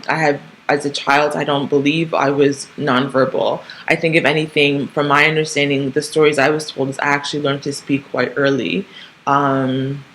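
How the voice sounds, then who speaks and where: A woman's voice: low voice, fairly bright tone, slight background noise, low-quality recording. A woman in her thirties who grew up in Canada talks through a casual solo podcast monologue, rambling with frequent ums, restarts and tag questions. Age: 30s. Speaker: podcast host.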